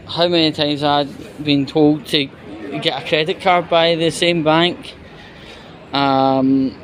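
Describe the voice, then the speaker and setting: A man with a Scottish accent gives an exasperated, sweary, halting off-the-cuff rant while out walking. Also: a little high. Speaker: vlogger. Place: outdoors, walking. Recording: noisy outdoor street with traffic and crowd.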